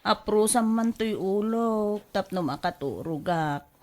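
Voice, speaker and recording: A woman's voice: mid-range voice, expressive, takes it slowly. A woman acting as a restless, nagging wife in a radio drama, full of sighs and exclamations. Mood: restless, fretful. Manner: exclamatory, sighing, querulous. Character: restless, nagging wife fretting and bickering with her husband.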